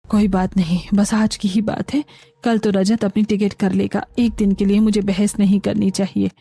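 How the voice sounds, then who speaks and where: A woman's voice: medium pitch, flat delivery, moderate pace, creaky, warm tone, husky. A woman voicing a stern, protective middle-aged mother-in-law in a cartoon story, firm and restrained. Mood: resigned.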